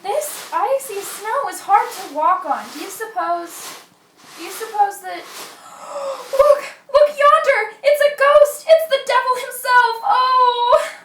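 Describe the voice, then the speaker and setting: Woman playing a very high-pitched, frightened ship's cook. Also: lively intonation, takes it slowly, a bit bright, far from the mic, full character voice. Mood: terrified. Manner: fearful, pleading. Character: frightened, pious, superstitious male ship's cook. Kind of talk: amateur radio play acting. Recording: echoey room, off mic, faint noise.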